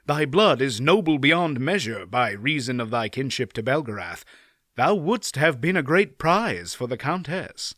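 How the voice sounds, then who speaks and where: A man voicing a chivalrous, formal-spoken knight, a little raised and bright. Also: medium pitch, very animated, a little slow, no room sound, clean studio sound. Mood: earnest. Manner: old-fashioned formal speech. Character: boastful chivalrous male knight, formal, archaic.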